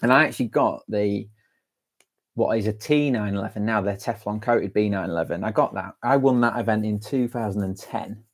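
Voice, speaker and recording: A young man with a fairly low voice hosts a live stream from a small indoor room. He talks loosely and chattily, full of fillers and restarts. He speaks into an earphone headset mic. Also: fairly quick. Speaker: angling channel host. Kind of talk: live-stream chat reading viewer comments. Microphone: wired earphone headset mic.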